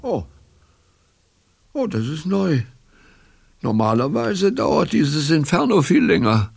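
An actor playing an irascible, melodramatic professor in a radio play, with an exasperated, theatrical, grumbling delivery. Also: male voice, a little high, very animated, takes it slowly, dry recording, clean studio sound, full character voice. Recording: clear background noise with clicks.

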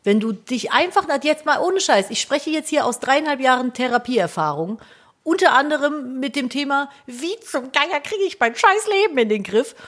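A woman's voice: sits high, animated, normal speed, fairly bright tone. Blunt young woman, light Cologne accent. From Cologne, Germany. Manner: blunt, swears casually, jokey. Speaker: podcast co-host. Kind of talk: casual podcast chat.

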